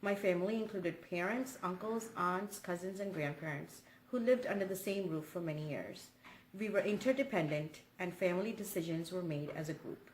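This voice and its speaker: Lowish-voiced woman narrating in a roomy space. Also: moderate pace. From India. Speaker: student presenter. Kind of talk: scripted personal narration. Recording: roomy sound with faint noise.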